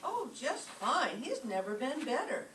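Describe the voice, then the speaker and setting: A woman's voice: sits high, big pitch swings, unhurried, fairly bright tone, full character voice. A woman playing a wry, quippy schoolteacher with dry comic delivery, in an old-time radio comedy. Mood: breezy. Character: wry, wisecracking female schoolteacher. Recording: echoey stage, distant mic, faint noise.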